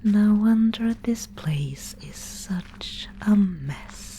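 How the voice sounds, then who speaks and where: Slow whispering, mysterious fantasy maiden guide, acted by a woman. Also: medium pitch, expressive, slight background noise. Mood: hushed, solemn. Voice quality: breathy, unvoiced whisper. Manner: slow, hushed, solemn storytelling with deliberate pauses. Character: mysterious female Spindlemaid guide in a gloomy dark fantasy realm. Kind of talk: whispered ASMR audio roleplay.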